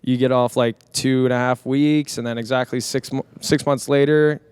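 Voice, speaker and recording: A man's voice: medium pitch, steady tone, normal speed, a little mellow, smooth, dry recording. A young man from the San Diego area, a DJ and producer, talking casually on a podcast in a rambling, laid-back way with many fillers. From San Diego area, California. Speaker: guest DJ and producer.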